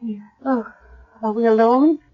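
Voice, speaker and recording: An actress playing a blind, dying elderly grandmother in a hospital bed, speaking softly and haltingly in a 1970s radio drama. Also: on the high side. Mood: anxious. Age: older. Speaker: hospital patient. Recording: dull lo-fi vintage radio recording.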